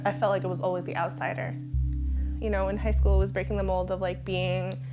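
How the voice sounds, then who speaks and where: A woman in her mid twenties talks conversationally about her own life. Her pitch is medium, and a strong music bed runs under her words. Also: flat delivery, brisk. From United States. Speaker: interviewee. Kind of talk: personal testimony, conversational.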